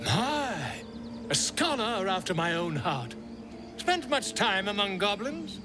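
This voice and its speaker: An actor playing a pompous, excitable middle-aged scholar in a video game, with florid, theatrical delivery. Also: male voice, high-pitched, takes it slowly, over music, exaggerated voice. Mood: eager, pompous. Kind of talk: video game character acting.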